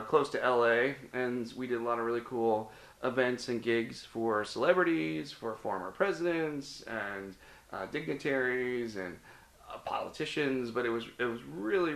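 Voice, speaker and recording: A man's voice: mid-range voice, fairly bright tone, reverberant. A middle-aged man from the American Midwest, a guest in a casual interview, telling his life story with many ums and restarts. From Cincinnati, Ohio (US Midwest).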